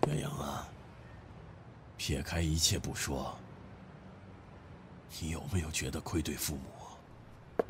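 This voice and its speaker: Man acting stern, very deep-voiced older father. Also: steady tone, noisy background, exaggerated voice. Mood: regretful. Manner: measured, weighty, deliberate. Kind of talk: Chinese audio drama acting. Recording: background music under the dialogue.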